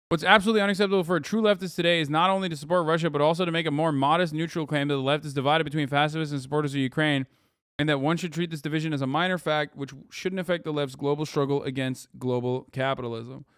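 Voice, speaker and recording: A man's voice: fairly high pitch, fairly even intonation, quick pace, a bit bright, clear, resonant tone, no room sound, clean studio sound. A man in his thirties hosting a live stream, reacting to an article with profane, sarcastic, heated rants. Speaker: streamer host.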